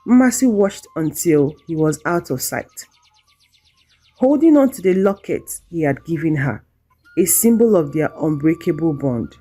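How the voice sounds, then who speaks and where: A woman narrating a scripted folktale in a measured, dramatic storytelling manner. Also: deep voice, expressive, dark tone, slightly rough, faint music behind.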